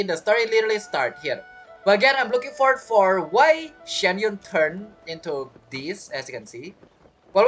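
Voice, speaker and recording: A woman giving casual, chatty gameplay commentary as a streamer, with teasing asides. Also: mid-range voice. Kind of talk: casual game playthrough commentary.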